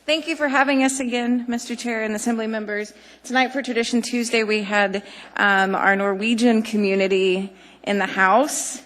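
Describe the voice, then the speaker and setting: A woman's voice: a little high, fairly even intonation, normal speed, fairly bright tone. A woman giving friendly, loosely prepared remarks at a city assembly meeting. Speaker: community presenter at the assembly. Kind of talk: brief public remarks. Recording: long reverb in a council chamber.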